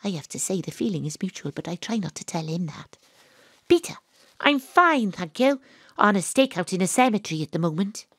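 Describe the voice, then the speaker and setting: A woman playing a gruff, dogged private detective who tells her own case in a dramatic, atmospheric audio drama delivery. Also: lowish voice, very animated, a little fast, no room sound, studio quality. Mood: irritated. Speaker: lead actress and narrator. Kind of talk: first-person audio drama narration.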